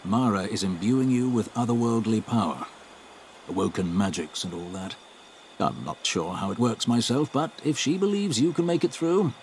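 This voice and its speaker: An actor playing a seasoned British military scout in a game cutscene, polite, dry and understated. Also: male voice, fairly low pitch, darkish tone, a little hiss or hum, natural voice, rough recording. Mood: wry, encouraging. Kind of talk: video game cutscene dialogue, mission briefing. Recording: music playing behind the voice.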